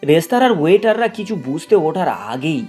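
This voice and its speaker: A man's voice: sits high, lively intonation, brisk, darkish tone, soft background music. A man narrating a cartoon moral story in a dramatic, scripted storytelling style.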